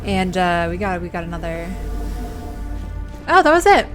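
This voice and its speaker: A woman's voice: lowish voice, big pitch swings, moderate pace, a bit bright. A woman streaming a game, giving casual, playful commentary and thinking aloud as she reacts. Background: game music.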